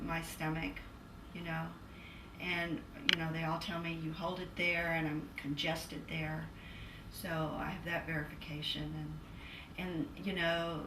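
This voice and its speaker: Low-voiced woman in an echoey room. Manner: hesitant, filler-heavy, agreeable listener. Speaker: client. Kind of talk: casual conversation. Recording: echoey room, distant mic, noisy.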